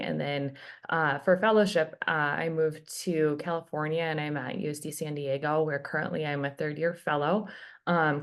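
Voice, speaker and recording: Low-voiced woman, lots of ums. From Minnesota, USA. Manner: many filler ums, earnest. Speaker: hematology fellow, guest. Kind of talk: casual interview answers.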